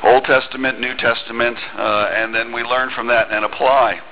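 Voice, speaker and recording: A man's voice: mid-range voice, little pitch movement, moderate pace, highs cut off, a little hiss or hum. A middle-aged man preaching a sermon in a church on a headset mic, talking conversationally with small restarts and reading scripture aloud. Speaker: pastor. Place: church sanctuary.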